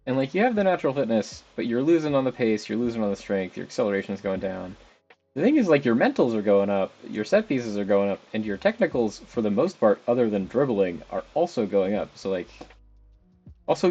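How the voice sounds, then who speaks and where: A man's voice: medium pitch, a little mellow. A young man streaming a football management game, chatting casually and rambling as he talks to the players on screen. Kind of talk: casual live game commentary. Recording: slightly dull stream audio with background music.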